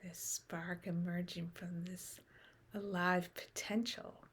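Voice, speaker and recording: A woman leading a guided meditation in short, unhurried phrases with long pauses, softly trailing into breath at the ends. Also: lowish voice, level tone, breathy edge. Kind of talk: guided meditation, unscripted and contemplative.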